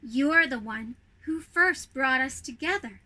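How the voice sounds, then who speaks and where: An affectionate young princess from a children's storybook, played by a woman. Her voice is high and very bright, and she speaks with earnest expression. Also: animated, measured pace, heavily acted. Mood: tender.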